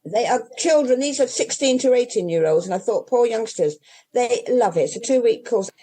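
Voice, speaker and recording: A woman's voice: a little high, animated, moderate pace, dark tone. An older English woman who runs a bookshop, chatting in an interview and rambling through restarts and asides. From England. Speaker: independent bookshop owner. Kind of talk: casual interview chat.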